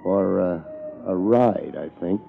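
An American actor playing a laid-back, wisecracking hard-boiled private investigator in a 1950s radio detective drama. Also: male voice, low voice, slow, creaky, soft background music, exaggerated voice. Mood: wry, musing. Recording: muffled old 1950s radio recording.

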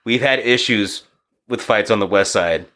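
A man in his thirties, a boxing coach and former DJ, talking casually and profanely on a podcast, telling stories and acting out quick asides. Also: fairly low pitch, bright, forceful tone.

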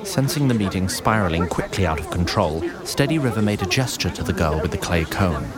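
A man's voice: low voice, expressive, fairly quick, a loud crowd. A man reading scripted fantasy narration in a literary storytelling manner. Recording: noisy background ambience.

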